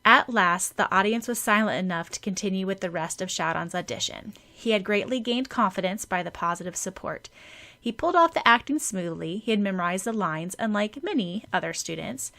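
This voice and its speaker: A woman's voice: mid-range voice, animated, brisk, pushed and bright. A woman reading scripted story narration as an audiobook narrator.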